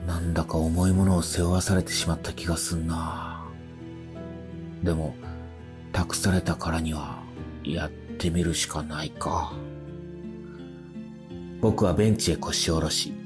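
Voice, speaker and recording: A bewildered everyman in a radio drama. His low voice is casual and introspective, with clear music playing behind it. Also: steady tone, unhurried, pauses often. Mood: pensive. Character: bewildered ordinary man entrusted with a future device. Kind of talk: radio drama dialogue and first-person narration.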